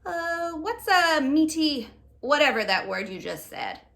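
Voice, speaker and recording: A woman's voice: high voice, big pitch swings, slow, vocal fry, fairly bright tone, pitched above the performer's own voice, heavily acted. A woman voicing a confused, curious little girl in a children's book read-aloud, puzzled and hesitant.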